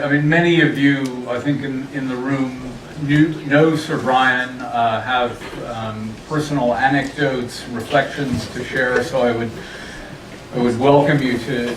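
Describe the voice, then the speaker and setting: A male moderator opens the floor in a casual, stop-start way. He sounds distant, in an echoey conference room with clear background noise. His pitch sits in an ordinary middle range. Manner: informal, restarts often. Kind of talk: moderating a panel.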